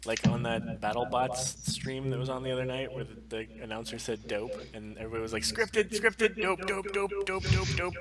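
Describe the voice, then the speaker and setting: A man's voice: mid-range voice, moderate pace, hardly any pauses, heavy background noise. A man chatting informally and swearing freely as co-host of a casual livestream, speaking into a headset. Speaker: podcast stream co-host.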